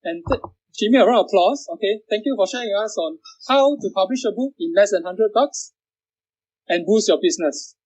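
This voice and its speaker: A man hosting an online session, introducing a guest and filling pauses with "okay" and "all right". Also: high-pitched, a little mellow, lo-fi sound. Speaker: host.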